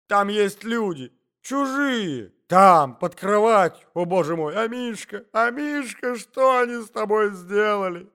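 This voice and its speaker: A man's voice: high-pitched, lively intonation, unhurried, a bit bright, smooth, voice pushed up, full character voice. A man voicing a melodramatic young lady in a story, wailing and exclaiming as if about to swoon. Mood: hysterical. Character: hysterical, melodramatic young lady doting on lapdog.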